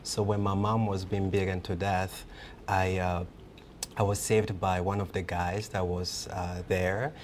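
Young man, very low voice, earnest and halting, tv studio, faint hum behind. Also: flat delivery. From Rwanda. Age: 20s. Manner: earnest, halting with many fillers. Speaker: guest. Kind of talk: TV studio interview. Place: TV news studio.

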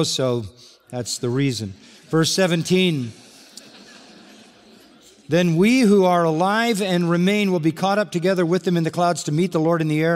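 A man's voice: fairly high pitch, darkish tone. An older man preaching an expository sermon, earnestly reading scripture aloud and stressing key points as a pastor. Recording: large hall with long reverb.

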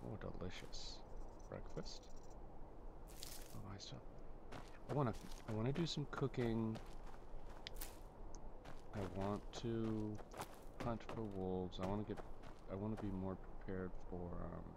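Male gameplay host, deep and unhurried, halting casual musing aloud. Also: vocal fry, noisy background. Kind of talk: casual rambling gameplay commentary.

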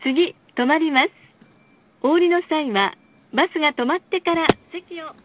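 A woman reading scripted bus stop announcements in a polite, formal, measured way. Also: high voice, no echo. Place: recorded announcement played inside a bus. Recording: muffled, lo-fi bus speaker recording.

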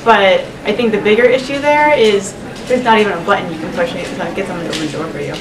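A woman's voice: medium pitch, fast, distant mic, crowd noise. A young woman chatting casually in an interview answer. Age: young adult. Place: indoor lounge, standing before a camera. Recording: roomy, noisy, off mic.

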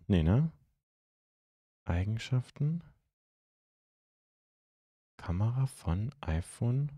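A young man from Germany hosting a live stream, chatting casually and haltingly as he answers viewer comments into a close microphone. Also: on the low side, dark tone, slightly rough, dry recording. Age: young adult. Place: indoor streaming room at home. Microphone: close microphone on a stand.

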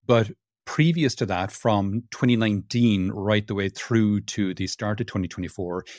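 A man's voice: lowish voice, moderate pace, a little mellow, no room sound, clean studio sound. A man from Cork with an Irish accent, a guest chatting casually and self-deprecatingly, often restarting his sentences. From Ireland. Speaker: podcast guest, sustainability consultant. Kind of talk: casual interview talk.

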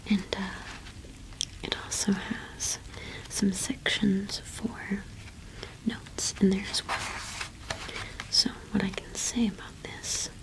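A young woman whispering gently and unhurriedly in a casual ASMR roleplay, close to the microphone. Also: mid-range voice, flat delivery, slow, long pauses, low-quality recording. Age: young adult. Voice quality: breathy whisper. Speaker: ASMR roleplay performer playing a shopkeeper witch.

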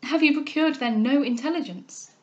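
Anguished young heroine of a period novel, read by a woman: high-pitched, resolute, formal and urgent. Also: moderate pace, highs cut off, close to an everyday voice. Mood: grave resolve. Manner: urgent, formal, emphatic exclamations. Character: anguished young gentlewoman, tearful, proud and resolute. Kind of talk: dramatic reading of a classic novel.

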